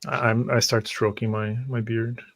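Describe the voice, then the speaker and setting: A man playing a tabletop roleplaying game, speaking in character and describing his actions in a polite, measured, hesitant way. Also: fairly low pitch, level tone, gravelly, fairly bright tone, no room sound.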